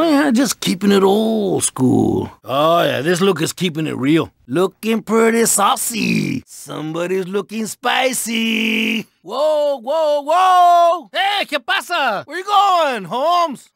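An actor voicing a proud, laid-back lowrider car in a video game, with slangy, drawn-out street talk. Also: male voice, gravelly, a bit bright, no room sound, studio quality, exaggerated voice. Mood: alarmed. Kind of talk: animated video game voice acting.

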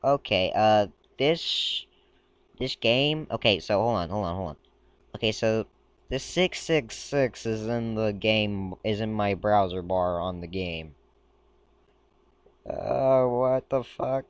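A man casually commenting while browsing a game page, talking in a rambling, halting way and stumbling as he reads aloud, a little sick. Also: lowish voice, smooth, no room sound. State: a bit sick. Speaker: gaming video creator. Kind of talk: casual screen-recording commentary.

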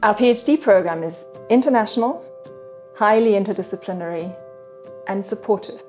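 A woman's voice: medium pitch, normal speed, darkish tone, soft background music. A middle-aged woman, a program spokesperson, speaking confidently in a promotional interview in an office. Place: indoor office with sofa.

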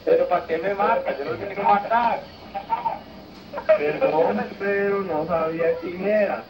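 A high-pitched man trades rowdy, teasing banter during an online game. His voice sounds echoey. Loud noise sits beneath it. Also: unhurried. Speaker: gamer. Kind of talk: casual gaming voice chat. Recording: echoey, very noisy voice chat.

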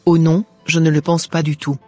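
A woman's voice: sits low, level tone, normal speed, darkish tone, no echo, soft background music. A woman voicing a resentful, ungrateful young student daughter in a cartoon, complaining with scorn.

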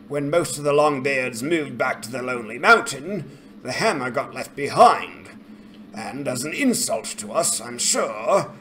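A man's voice: mid-range voice, fairly bright tone, slight background noise. A man acting a proud, aggrieved old dwarf lord in a fantasy game dramatization, with a weighty, dramatic manner.

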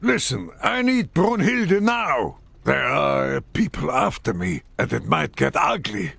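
An actor playing a gruff, weary veteran military commander with a gravelly, husky voice in a video game. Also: male voice, on the high side, huge pitch swings, takes it slowly, fairly bright tone, no echo, heavily acted. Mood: tense. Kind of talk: video game cutscene acting.

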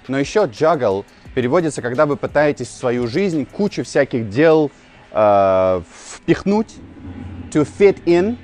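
A man in his thirties, a native Russian speaker, giving an informal English lesson to camera outdoors and slowly repeating key phrases. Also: mid-range voice, darkish tone. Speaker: English teacher, video host. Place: outdoors on a narrow old-town street. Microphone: lapel mic.

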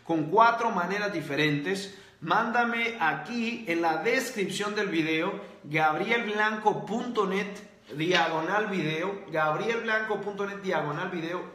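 Guy w a high voice doing a preachy motivational livestream, echoey room. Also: measured pace, bright, forceful tone. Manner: preachy, repeats key points. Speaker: host. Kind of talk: motivational livestream talk, reading comments.

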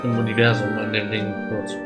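A man's voice: on the low side, monotone, fast talker. Male streamer chatting over game music. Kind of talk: casual live playthrough chat.

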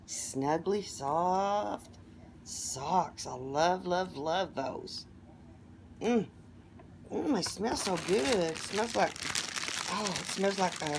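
A hoarse, middle-aged woman talks slowly and gently, her voice sitting a little low as she coos over each gift. She records from a phone on a table in her living room, with a faint hiss of the room behind her. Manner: gushing, softly delighted exclamations. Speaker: vlogger opening gifts. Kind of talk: casual unboxing chat.

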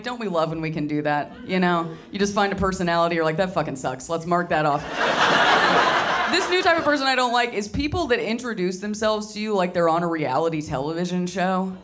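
A young woman from Tennessee performing a stand-up comedy set into a handheld mic, wry and deadpan, slipping into mocking voices for the people she quotes. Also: mid-range voice. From Southern US, Tennessee. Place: small candy-shop comedy venue with live audience. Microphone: handheld microphone.

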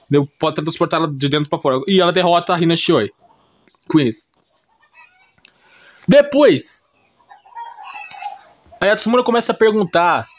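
Male, Brazilian accent, slightly high voice. Informal YouTube recap narrator, improvised, repetitive, peppered with short check-in tags. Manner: repetitive, often checks listener understanding with short tag questions. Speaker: YouTuber recapping anime and manga. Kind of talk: casual unscripted plot explanation.

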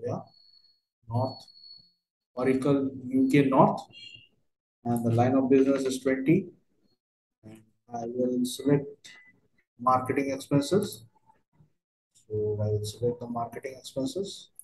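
Man, medium pitch, fragmented instructional delivery, software walkthrough, echoey recording. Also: fairly even intonation, slow pace, pauses often, slight background noise. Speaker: software trainer. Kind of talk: step-by-step screen tutorial narration.

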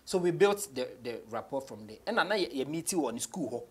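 Husky-voiced young Ghanaian man. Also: medium pitch, expressive, fairly quick, a bit bright. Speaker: guest, rapper. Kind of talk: casual interview chat, mixing English and Twi. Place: small indoor radio studio.

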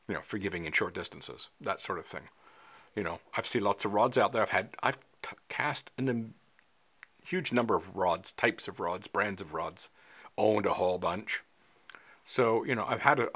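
A man's voice: fairly low pitch, pushed and bright. A man in his sixties talking casually to the camera in a small studio, conversational with lots of fillers and hand gestures. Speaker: fly fishing vlogger. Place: small indoor studio, dark backdrop.

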